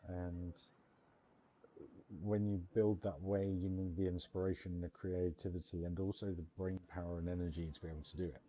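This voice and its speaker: British male streamer, low relaxed voice, chummy and informal, faint background noise. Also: normal speed, rough recording. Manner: chummy, addresses viewers as mate and bud. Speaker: game streamer and host. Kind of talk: casual live stream chat over a theme park building game.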